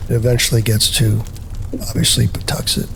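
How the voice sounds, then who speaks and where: A raspy-voiced man from Rhode Island, hoarse from a cold, chatting easily as a musician guest on a podcast. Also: lowish voice, level tone, a little fast, background noise. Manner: easygoing, rambling, laughs often. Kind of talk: casual podcast chat.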